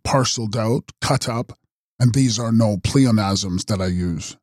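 A man voicing a mysterious, ageless male count who makes grave, measured prophecies in an audiobook. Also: mid-range voice, darkish tone, no echo, studio quality, lightly acted. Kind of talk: audiobook character reading.

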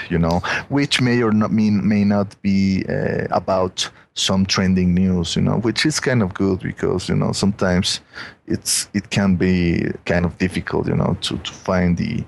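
A man's voice: sits low, creaky. A man with a Mexican accent, a guest chatting casually on a podcast in a rambling way, with many "you know"s.

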